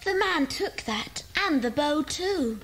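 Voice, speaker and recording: A woman's voice: sits high, animated, takes it slowly, a bit bright, exaggerated voice. A woman playing a thoughtful little child in a children's club.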